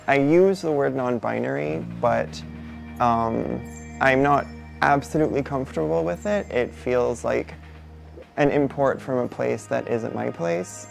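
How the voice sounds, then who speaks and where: A non-binary speaker with a male-sounding voice in their twenties, from Barbados, answering interview questions in a thoughtful, unhurried way with many soft fillers. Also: medium pitch, vocal fry. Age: 20s. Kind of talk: reflective personal interview. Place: indoor studio before a painted backdrop.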